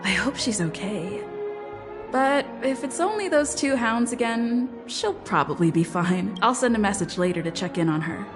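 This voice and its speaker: An actress voicing an easygoing young woman traveler in a game, speaking in an unhurried way. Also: mid-range voice, animated, music in the background, natural voice. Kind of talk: game dialogue.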